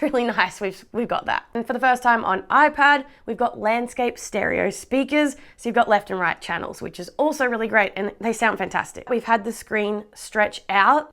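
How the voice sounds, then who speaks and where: An Australian woman with a slightly hoarse voice from a cold, chatting enthusiastically through a tech product review and laughing often. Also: medium pitch, a bit bright. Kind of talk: casual product review vlog.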